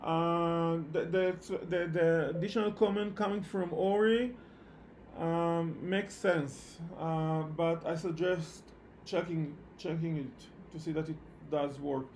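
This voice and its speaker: A man who speaks English as a second language, teaching programming in a live online lecture with halting phrasing and repeated words. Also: fairly high pitch, steady tone, darkish tone, slight background noise. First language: not English. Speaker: programming instructor. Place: online meetup session at a computer.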